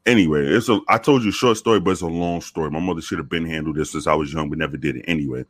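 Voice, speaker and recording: A man from the Bronx with a very deep voice, telling profane, animated stories with many restarts in a casual interview. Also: rapid-fire, dry recording, clean studio sound. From the Bronx, New York. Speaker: guest, former police officer.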